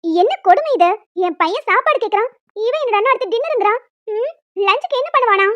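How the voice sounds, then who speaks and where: A woman's voice: extremely high pitch, expressive, fast, a bit bright. A woman voicing a nagging, disapproving older mother-in-law who complains and scolds in a cartoon family drama. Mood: indignant.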